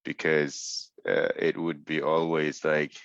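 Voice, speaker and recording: A man's voice: rumbling low, level tone, slow pace, fairly bright tone, clean tone, dry recording. A man from West Africa speaking earnestly as a panelist on a video call, searching for his words. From West Africa, Mauritania. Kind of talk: online panel discussion. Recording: muffled video call audio.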